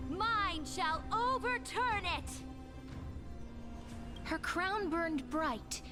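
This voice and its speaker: An actress playing a solemn storyteller of an epic war legend in a video game, dramatic, stately and declamatory. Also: female voice, high-pitched. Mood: rousing, defiant. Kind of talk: scripted game narration, voice acting. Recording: loud game music behind the voice.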